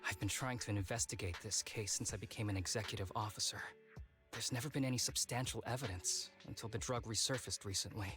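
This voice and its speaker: A man's voice: on the low side, steady tone, quick pace, fairly bright tone, soft background music, lightly acted. An actor playing a young male executive officer in a video game, breezy and playful with a casual, teasing, secretive way of talking. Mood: wistful, serious. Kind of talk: voiced game dialogue.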